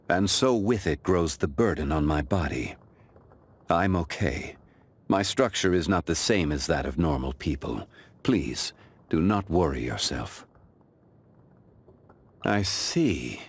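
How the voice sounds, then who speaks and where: A man playing an ancient, calm, dignified gentleman in a video game, speaking in a formal, measured, reflective way. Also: low voice, full character voice. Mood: composed. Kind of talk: scripted video game character voice lines.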